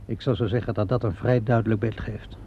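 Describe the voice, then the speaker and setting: An actor playing a calm, probing police inspector in a Dutch radio play, questioning politely and methodically. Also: male voice, fairly low pitch, a little fast, slight background noise, close to an everyday voice. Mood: measured, persistent. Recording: dull, lo-fi old radio recording.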